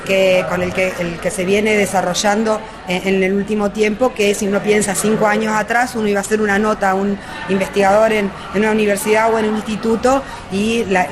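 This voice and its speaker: Woman, Argentine Spanish accent, medium pitch, reflective interview style, articulate, searching for words. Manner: articulate but halting, searching for words and rephrasing.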